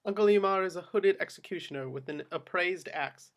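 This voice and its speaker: A man's voice: high voice, fairly quick. A man narrating action descriptions from a script at a table read. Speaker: stage-direction narrator.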